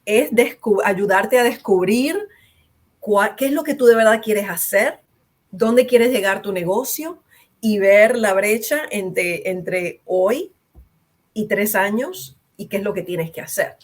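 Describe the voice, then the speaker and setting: A woman who advises small businesses, calmly explaining ideas in a casual podcast conversation. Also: mid-range voice, slow pace. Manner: calm and explanatory, with some false starts.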